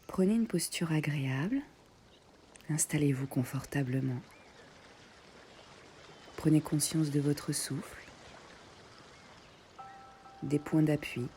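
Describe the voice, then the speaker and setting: A woman guiding a meditation in soft, breathy short phrases with long pauses, often close to a whisper. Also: deep voice, slight background noise. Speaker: yoga nidra meditation guide. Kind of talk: guided meditation.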